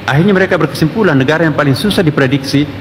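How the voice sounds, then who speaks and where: A man's voice: on the high side, very fast, a little hiss or hum. A man giving an informal commentary, building his points rhetorically with repetition and questions.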